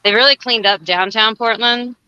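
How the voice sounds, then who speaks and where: Woman, crisp smooth voice, casual guest chat, dull lo-fi close sound. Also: a little high, fairly even intonation, moderate pace, pushed and bright. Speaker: guest on a live chat stream. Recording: dull lo-fi audio.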